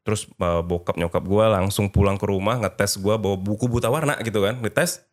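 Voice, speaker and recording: A man's voice: on the low side, brisk. A man in his thirties from North Sumatra chatting casually as an interview guest, colloquial and dropping in English phrases. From North Sumatra, Indonesia. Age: 30s. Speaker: podcast guest, presenter and pageant alumnus.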